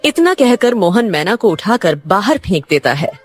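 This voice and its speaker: A woman's voice: medium pitch, big pitch swings, moderate pace, faint music behind. A woman narrating a scripted cartoon moral story as a storyteller.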